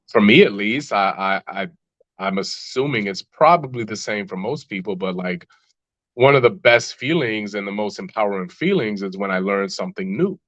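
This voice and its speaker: Man, low voice, musician guest, reflective, hesitant restarts, dull dry audio. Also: fairly bright tone, no room sound. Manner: reflective, many fillers and restarts. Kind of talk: podcast interview answers.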